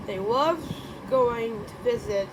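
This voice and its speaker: A high, very lively female voice reads a storybook aloud. She stumbles and corrects herself, slipping into informal asides. There is clear background noise behind her. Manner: halting, self-correcting reading. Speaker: story reader.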